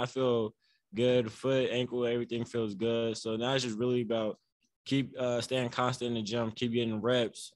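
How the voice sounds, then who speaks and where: A soft-spoken young man answers reporters at a postgame press table, relaxed and easygoing, with lots of "you know" and "like". His voice sits in a middle pitch. The sound is dry and close on a table mic. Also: no echo. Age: young adult. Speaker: college basketball player. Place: press room before a banner. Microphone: table mic on a stand.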